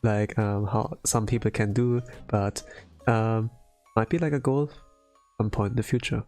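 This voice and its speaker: A man's voice: on the low side, fairly even intonation, measured pace, darkish tone, soft background music. A young man from Germany with a German accent, chatting casually on his art stream and rambling with lots of fillers. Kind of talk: casual chat while drawing on stream.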